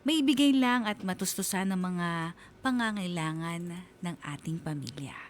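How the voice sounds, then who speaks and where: A woman's voice: lowish voice, lively intonation, a little slow, a little hiss or hum. A woman radio host reading a listener's letter as heartfelt, dramatic scripted narration.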